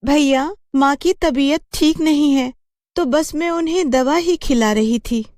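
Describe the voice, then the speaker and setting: A woman's voice: high voice, measured pace, darkish tone, no echo, clean studio sound, pitched above the performer's own voice. A woman voicing a dutiful young sister, earnest and plaintive but firm. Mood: meek, explaining.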